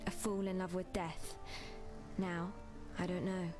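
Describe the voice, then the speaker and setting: An actress playing a sober, wary survivor in a video game, speaking earnestly and with a note of caution. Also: female voice, on the low side, little pitch movement, measured pace, lightly acted. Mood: grave, relieved. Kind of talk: video game character dialogue. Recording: music behind the voice.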